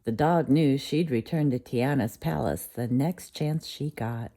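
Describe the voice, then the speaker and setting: A woman reads a bedtime storybook aloud to a child in a low, mellow voice. Her delivery is gentle and unhurried throughout.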